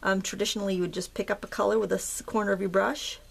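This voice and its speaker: A woman hosting a craft tutorial, chatting in a relaxed, rambling way as she paints. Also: mid-range voice, rapid-fire, gravelly, breathy edge. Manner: relaxed, rambling, with fillers and soft laughs. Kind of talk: casual chatty tutorial narration.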